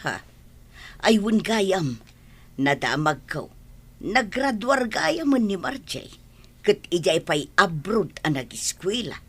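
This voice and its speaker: A woman playing a fretful, affectionate older mother in a radio drama, husky and exclamatory, with sighs. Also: medium pitch, very animated, takes it slowly, long pauses, heavily acted. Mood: concerned.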